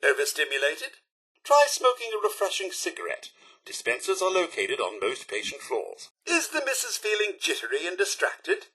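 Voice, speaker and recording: A man's voice: high voice, sing-song, moderate pace, sharp tone, slightly rough, heavily acted, low-quality recording. A man playing a polite, fussy, loyal robot butler in a video game, with a British accent and formal, deferential manners. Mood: cheerful announcing. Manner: formal, deferential, fussily courteous. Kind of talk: video game character voice lines.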